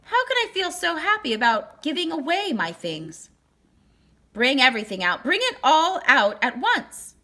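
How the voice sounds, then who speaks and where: A woman's voice: sits high, sing-song, moderate pace, sharp tone, voice pushed up, full character voice. Woman playing an exclamatory, big-hearted storybook king. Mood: amazed, excited.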